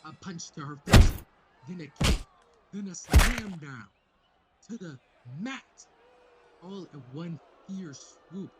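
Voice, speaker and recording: A man voicing an excited fight announcer, dramatic play-by-play with emphatic bursts of volume. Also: sits high, slow pace, heavy background noise, voice pushed up.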